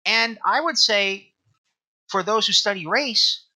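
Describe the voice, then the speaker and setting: A man speaking as an academic interview guest, explaining research in an emphatic, halting way with repeated phrases. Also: high-pitched, pushed and bright. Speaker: guest author and historian discussing own book.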